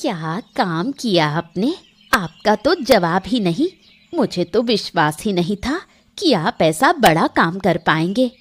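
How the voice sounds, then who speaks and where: A woman's voice: medium pitch, big pitch swings, takes it slowly, husky, full character voice. Woman playing a spiteful old village woman, swooping delivery. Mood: gloating. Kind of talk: animated moral story dialogue.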